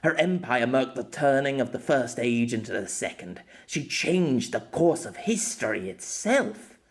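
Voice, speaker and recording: A man voicing a wistful, self-deprecating former sword master in an audiobook, reminiscing in a halting way. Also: mid-range voice, animated. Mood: sorrowful awe.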